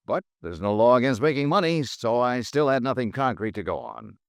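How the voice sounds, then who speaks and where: An actor playing a brisk, wisecracking male insurance investigator in a 1950s radio drama, narrating his own case in a clipped, dry way. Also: medium pitch, very animated, smooth, dry recording. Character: hard-boiled male insurance detective, brisk and wry.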